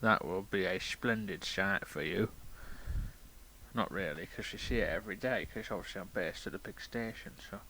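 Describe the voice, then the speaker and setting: A man playing a grumpy, self-important railway controller in a comedy, argumentative and deadpan, given to digressions. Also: deep voice, moderate pace, slight background noise, poor audio. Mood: boastful, deadpan. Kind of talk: improvised comedy banter and story reading.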